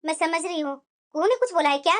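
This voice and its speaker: A woman doing a gentle high-voiced mother-in-law. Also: expressive, quick pace. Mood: soothing. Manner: gentle, scolding softly. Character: kindly older mother-in-law, patient peacemaker. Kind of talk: animated family drama voice acting.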